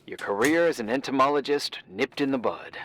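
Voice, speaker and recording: A man's voice: medium pitch, expressive, fairly quick, natural voice. Awkward, hesitant man voiced by an actor, noisy background. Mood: helpful, joking. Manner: hesitant, rambling, gently joking. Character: awkward, absent-minded, eager-to-help workaholic man on the phone. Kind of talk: naturalistic video game voice acting.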